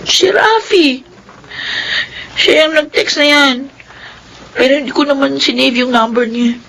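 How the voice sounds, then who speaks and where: A very high voice from a soap drama , acted by a woman. The anxious young sweetheart is evasive and flustered, always placating and making excuses. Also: a little slow, creaky, a bit bright, a little hiss or hum, heavily acted, rough recording. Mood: confessing, worried. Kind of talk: audio soap drama acting.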